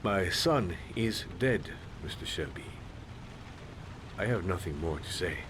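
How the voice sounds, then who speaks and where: A man's voice: deep voice, lively intonation, measured pace, background noise, pitched below the performer's own voice. A man voicing a grieving shopkeeper father who coldly refuses to talk. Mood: bitter. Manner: clipped, cold, dismissive. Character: grieving male shopkeeper father, curt and bitter.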